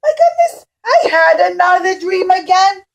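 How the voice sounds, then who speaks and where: A woman voicing an excitable, anxious king in a children's puppet show, exclaiming and wailing dramatically. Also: extremely high pitch, normal speed, pitched above the performer's own voice, full character voice. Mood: dismayed. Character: excitable, anxious old-time king troubled by his dreams.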